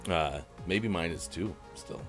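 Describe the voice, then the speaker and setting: A man hosting a live morning show in easygoing, self-mocking banter, his voice a bit rough today. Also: deep voice, measured pace, faint music behind. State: slightly rough, gruff voice. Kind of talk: casual live-stream morning show chat.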